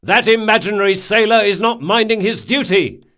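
A man voicing a foul-mouthed young sea captain in an audiobook, shouting commands and theatrical insults. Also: sits high, normal speed, voice pushed up. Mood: commanding, urgent. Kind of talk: audiobook character acting.

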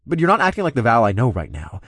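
A man's voice: medium pitch, wildly swooping pitch, quick pace, fairly bright tone, dry recording, clean studio sound, exaggerated voice. A man voicing a protective, caring male werepanther with an earnest, firm manner in an audiobook. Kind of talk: acted audiobook dialogue.

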